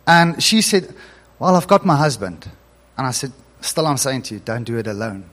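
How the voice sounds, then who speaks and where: A man's voice: mid-range voice, big pitch swings, normal speed, a bit bright. A male pastor whose first language is Afrikaans, telling a story in an earnest sermon that builds to emphatic preaching.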